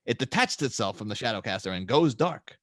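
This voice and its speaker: A man's voice: medium pitch, lively intonation, brisk, fairly bright tone, dry recording, studio quality. A man narrating scene descriptions and stage directions for a script table read.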